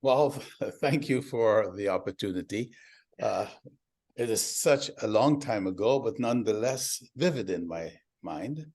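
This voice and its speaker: Older Guyanese-raised man, low voice; measured, pausing interview guest. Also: expressive, darkish tone. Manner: measured, recalls details with many "uh" pauses. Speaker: guest, doctor and company founder. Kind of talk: interview, telling his life story.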